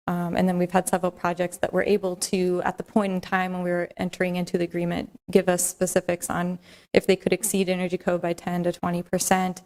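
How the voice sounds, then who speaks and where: A soft-spoken woman walks a council through policy in a level, even tone. Her voice sits a little low. She explains carefully and steadily, with the odd filler and restart. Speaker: city staff presenter. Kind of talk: formal presentation at a council meeting.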